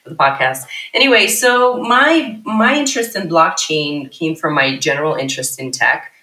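A woman in her thirties talks animatedly as a podcast guest, winding through long sentences with plenty of fillers. Her voice is bright and sharp. It rings in a noticeably echoey room. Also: sits low, normal speed. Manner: animated, rambling, many fillers and restarts. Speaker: startup CEO guest. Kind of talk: casual podcast interview.

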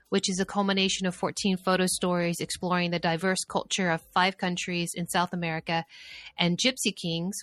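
A woman's voice: fairly low pitch, a bit bright, no echo. A woman hosting a podcast, reading a guest introduction and then chatting casually. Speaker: podcast host.

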